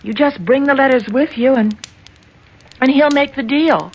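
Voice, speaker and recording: Anxious high-voiced young woman, pleading. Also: normal speed. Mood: nervous pleading. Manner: hesitant, earnest, emotional. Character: anxious, ashamed young senator's daughter, pleading. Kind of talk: 1970s radio drama acting. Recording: old radio broadcast, faint noise.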